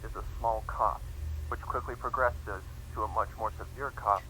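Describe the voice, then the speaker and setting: A man's voice: fairly low pitch, fairly even intonation, normal speed, pushed and bright, a little hiss or hum, low-quality recording. A man voicing a grave pony princess scientist who solemnly records a formal plague log in a fan fiction reading.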